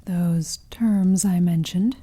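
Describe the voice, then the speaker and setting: A woman playing a seductive, possessive female vampire in an intimate ASMR roleplay, speaking softly and breathily, often whispering, with teasing, silky condescension. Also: on the low side, breathy edge. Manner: slow, teasing, silky condescension with pet names.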